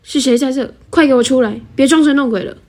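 A woman's voice: high-pitched, fast talker, a little mellow, a little hiss or hum, close to an everyday voice. A young woman playing a stern, grieving old king and father in an amateur radio drama. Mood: angry. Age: young adult. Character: angry grieving older king, a suspicious father. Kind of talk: student radio drama acting.

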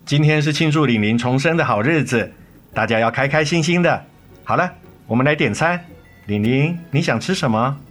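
一位男演员在广播剧中饰演温和、宠爱女儿的爸爸。. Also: male voice, medium pitch, normal speed, fairly bright tone, soft background music, lightly acted. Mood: cheerful, soothing. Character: gentle, indulgent father of two girls. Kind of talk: radio drama acting.

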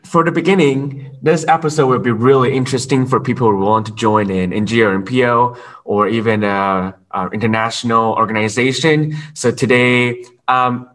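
Halting male podcast host, a bit off-mic. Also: mid-range voice, steady tone, a bit bright, distant mic. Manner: hesitant, halting phrasing. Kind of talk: casual podcast interview.

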